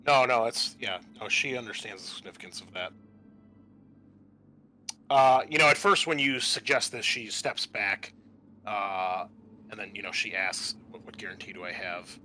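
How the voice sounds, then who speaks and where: A man running a tabletop roleplaying game as game master, narrating informally and haltingly as he improvises the scene. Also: lowish voice, sharp tone, slight background noise.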